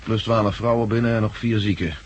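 An actor with a Netherlands Dutch accent playing a blind, plain-spoken war veteran, wry and stoical, in a 1960s radio drama. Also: male voice, fairly low pitch, steady tone, fairly quick, lightly acted. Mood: wry, resigned. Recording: noisy, clicky 1960s radio recording.

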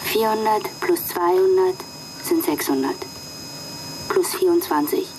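A woman's voice: on the low side, measured pace, noisy background, close to an everyday voice. A woman playing an earnest job candidate who thinks aloud in a radio drama. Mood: concentrating.

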